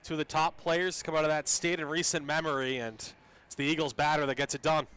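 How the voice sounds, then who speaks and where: Fast-talking higher-pitched male color commentator. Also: fairly even intonation, a bit bright, clean tone. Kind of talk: live baseball analysis commentary.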